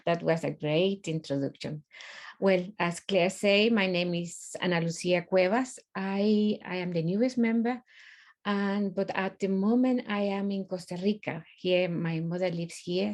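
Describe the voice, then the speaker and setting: A middle-aged woman with a Guatemalan accent making informal, halting remarks as a guest presenter on a video call. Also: lowish voice, darkish tone. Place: online video call from abroad.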